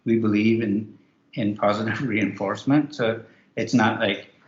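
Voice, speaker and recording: A man's voice: fairly low pitch, steady tone, measured pace, a little hiss or hum. A man, co-author of a book, talking loosely with many restarts in a virtual author interview. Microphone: video call. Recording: roomy, muffled video call.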